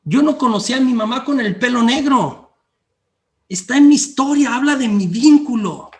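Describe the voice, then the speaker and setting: A man's voice: very high voice, lively intonation, moderate pace. A middle-aged Mexican man from Guanajuato lecturing in a class, who repeats key phrases and keeps checking in with his listeners. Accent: Mexican, mixed regional. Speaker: lecturer on theological anthropology.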